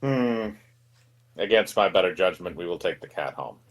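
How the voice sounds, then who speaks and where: A man's voice: deep voice, lively intonation, moderate pace. A man in casual game banter, reading a character's dialogue aloud in a wry, sweary way. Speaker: co-host voicing the player character.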